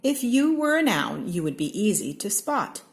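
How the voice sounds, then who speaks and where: A woman from Seattle with an American accent, reading a children's picture book aloud in a slow, expressive way. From Seattle, Washington. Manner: slow, expressive read-aloud with lively pitch swings. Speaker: storybook reader.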